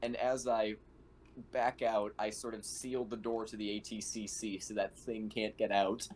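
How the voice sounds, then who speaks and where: A man's voice: on the low side, level tone, a little slow, a little mellow. A man playing a tabletop roleplaying game, chatting informally and swearing as he describes what his character does. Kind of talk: casual in-character game chat. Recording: faint background noise.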